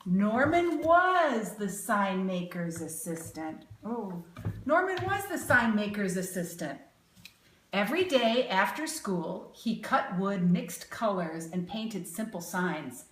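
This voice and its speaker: A woman reading a children's picture book aloud expressively, pausing to put questions to young listeners with exaggerated emphasis. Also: mid-range voice, big pitch swings, fairly bright tone. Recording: roomy, echoing sound.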